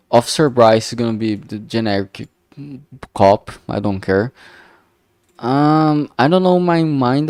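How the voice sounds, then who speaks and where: A man's voice: lowish voice, a little mellow, no room sound, clean studio sound. A man from Brazil with a thick Brazilian Portuguese accent, rambling hesitantly with many fillers as he thinks aloud on a stream. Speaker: game developer streamer.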